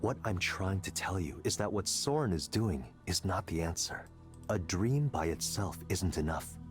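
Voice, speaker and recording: A man playing a confident, coldly intellectual tech executive in a visual novel, lecturing in a deliberate, persuasive way. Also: low voice, lively intonation, faint music behind. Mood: persuasive, assured.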